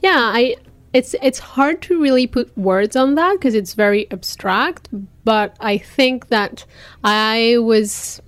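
A woman's voice: on the high side, takes it slowly, no echo. Thoughtful woman. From France. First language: French. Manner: thoughtful, searching for words. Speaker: interviewee, teacher. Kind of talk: reflective personal interview.

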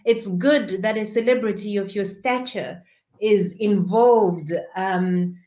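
A woman journalist asking probing interview questions in a measured way. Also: mid-range voice, mellow, reverberant.

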